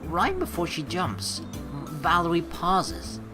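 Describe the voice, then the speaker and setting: A woman's voice: lowish voice, huge pitch swings, slow pace, over music. A young woman doing playful, slangy let's play commentary and reading game narration aloud.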